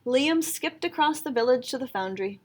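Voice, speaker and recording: A woman reading a children's picture book aloud in an expressive storytelling style. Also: sits high, moderate pace.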